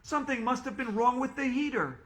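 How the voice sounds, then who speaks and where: A man voicing a breathless adult man who shouts alarming news. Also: high-pitched, animated, normal speed, voice pushed up. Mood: alarmed. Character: breathless adult male school worker. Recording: roomy echo.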